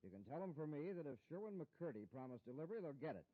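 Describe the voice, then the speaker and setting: Smug company villain, quick delivery, animated pitch, insinuating, vintage radio drama, dull recording. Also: male voice. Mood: brisk, evasive. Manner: smooth-talking, insinuating, condescending. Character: smug, slick, officious spaceship company businessman villain. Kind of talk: 1950s radio drama acting.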